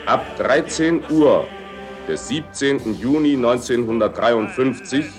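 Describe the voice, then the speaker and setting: A man's voice: mid-range voice, lively intonation, measured pace. Man proclaiming an official order over music. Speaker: announcer.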